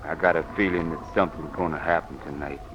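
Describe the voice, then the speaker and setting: An actor playing a superstitious, humble ship's steward with folksy, uneducated grammar, in 1930s radio style. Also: male voice, extremely low pitch, fairly even intonation, fast, muffled recording. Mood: ominous, hushed. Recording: noisy old radio drama recording.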